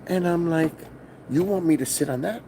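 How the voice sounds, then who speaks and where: A middle-aged man from New with a loud voice, telling a rambling, profane story on a casual stream from his hotel. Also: fairly high pitch, normal speed, slight background noise. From New York. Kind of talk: casual live-stream vlog. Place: hotel, indoors.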